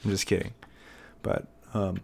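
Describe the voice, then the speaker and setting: A man's voice: low-pitched, little pitch movement, normal speed, gravelly, noisy background. A young American man hosting a casual solo podcast, rambling with many fillers and restarts. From United States. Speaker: podcast host.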